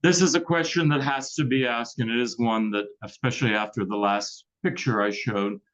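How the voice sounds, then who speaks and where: A man's voice: mid-range voice, fairly even intonation, moderate pace, fairly bright tone. Male moderator, earnest and explanatory, muffled lo-fi audio. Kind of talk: moderating a club talk.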